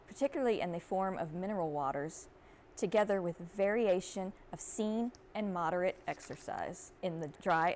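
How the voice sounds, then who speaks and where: A woman's voice: medium pitch, darkish tone. Formal woman reading aloud, noisy recording. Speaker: university archivist, reader. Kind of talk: dramatic reading of an old medical treatise.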